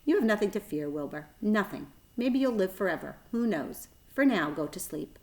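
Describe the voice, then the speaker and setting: A woman voicing a gentle, wise, motherly female spider from a storybook, patient and reassuring. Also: medium pitch, animated, moderate pace, natural voice. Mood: soothing.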